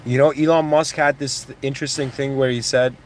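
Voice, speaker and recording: A man's voice: medium pitch, fairly even intonation, fairly quick, a little hiss or hum. Rambling man giving a motivational talk. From born in Iran, raised in Canada. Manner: rambling, with restarts and "you know what I mean". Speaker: motivational speaker.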